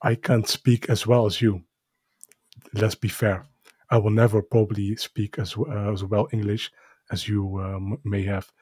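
A man's voice: on the low side, level tone, moderate pace, darkish tone, husky, no room sound. A man with a Dutch accent, a native Dutch speaker, talking casually in English with many hesitations and fillers. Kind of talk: casual podcast conversation.

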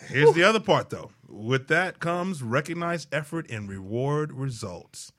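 Lively, higher-pitched man co-hosting a show. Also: measured pace. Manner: playful, teasing. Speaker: talk show co-host. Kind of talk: casual banter and tips.

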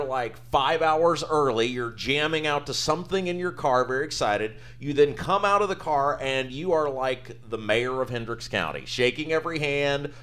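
A male host talks in a friendly, chatty way. His pitch rises and falls a lot as he tells stories and puts questions to his guest. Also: a bit bright. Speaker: podcast host. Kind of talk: interview conversation.

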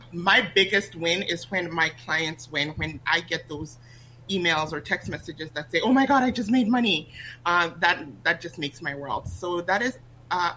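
A woman from Ghana, a guest talking casually about her life and business, lively and quick to laugh. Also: fairly low pitch, low-quality recording. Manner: lively, laughs often, restarts sentences. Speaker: guest, online business coach. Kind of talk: casual interview chat. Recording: faint hum under the voice.

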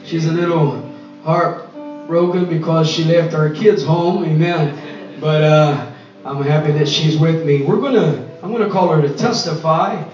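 A man from Brazil, a Portuguese native speaker, preaching fervently in English and interpreting a testimony, at times shouting praise. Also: fairly high pitch, steady tone, measured pace, soft background music. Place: church sanctuary. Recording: echoey church heard from far off, dull.

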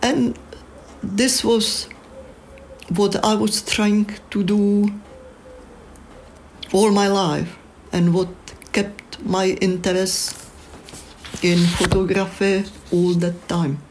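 An older woman with a Czech accent, a photographer speaking in a slow, measured, thoughtful way in a prepared reflection. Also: medium pitch, level tone, a little mellow, slight background noise.

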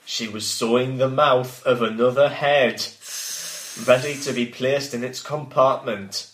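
A man with a mid-pitched voice reads a gothic tale aloud as its author. He steps out of the narration for casual banter, and the room echoes around him. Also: steady tone, unhurried, slight background noise. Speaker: author and reader. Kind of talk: reading aloud a gothic story, breaking off to banter. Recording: roomy, echoey home recording.

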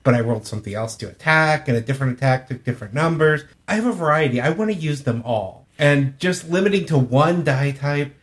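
A man's voice: on the high side, expressive, a little fast, hardly any pauses. A man co-hosting a casual panel chat about tabletop games, explaining his preferences in plain, earnest terms. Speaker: podcast co-host.

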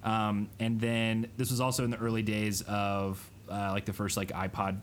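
A thirty-year-old man talking casually on a podcast, with a low voice and a faint hiss behind him. He tells a long story in winding sentences and often backs up to correct himself. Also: flat delivery, normal speed. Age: 30s. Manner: rambling, self-correcting storyteller. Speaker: podcast co-host. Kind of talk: casual chat.

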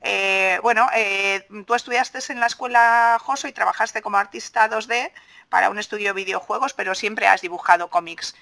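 Creaky, bright Spanish woman host. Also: mid-range voice, fairly even intonation, normal speed. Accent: Spain. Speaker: podcast host. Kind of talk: casual interview chat.

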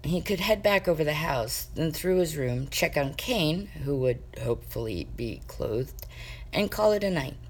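A woman reading a comic story aloud as an expressive amateur narrator, with wry, dramatic emphasis. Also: low voice, measured pace, slightly rough, poor audio. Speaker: amateur fiction reader.

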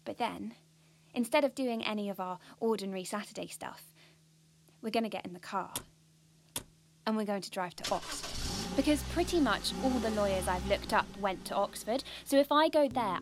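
A woman's voice: mid-range voice, normal speed, a little hiss or hum, lightly acted. An actress playing an earnest, bookish, ambitious teenage schoolgirl with a British accent, confiding in a precise way in an audio drama monologue. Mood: determined.

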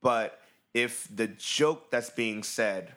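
Animated man in casual chat. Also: mid-range voice. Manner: animated, quick bursts, many fillers.

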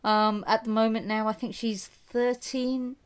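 A woman talking tennis in a relaxed podcast chat. She rambles, laughs at herself and fills her sentences with ums and restarts as she goes. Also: on the high side, measured pace, smooth. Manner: chatty, self-mocking, lots of fillers and restarts. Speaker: podcast co-host.

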